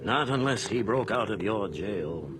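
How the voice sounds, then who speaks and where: A shrewd, compassionate judge in radio drama. His low voice moves expressively and courteously, at a measured pace, over loud background ambience. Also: expressive, noisy background. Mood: grave, shrewd. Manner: measured, thoughtful.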